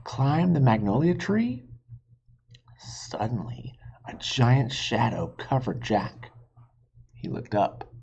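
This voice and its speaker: A man reading a children's book aloud as a teacher, expressive and pausing to ask listeners questions. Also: mid-range voice, husky. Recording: dull lo-fi recording.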